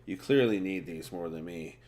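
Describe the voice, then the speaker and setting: A man's voice: low voice, normal speed, a little mellow, close to an everyday voice. A man voicing a blunt, sardonic, foul-mouthed woman who smokes, in a storybook reading. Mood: amused, sardonic. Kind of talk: audiobook-style character dialogue.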